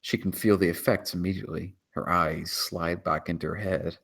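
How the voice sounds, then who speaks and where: A man's voice: low voice, steady tone, normal speed, creaky, fairly bright tone, slightly rough. A man reading out stage directions as scripted screenplay narration at a table read.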